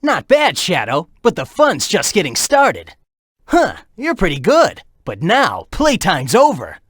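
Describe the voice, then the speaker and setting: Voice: mid-range voice, huge pitch swings, unhurried, slightly rough, dry recording, clean studio sound, exaggerated voice. An actor voicing a cocky, upbeat young male hedgehog hero in a video game, brash and playful, calling out with urgency. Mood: determined. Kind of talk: animated video game voice acting.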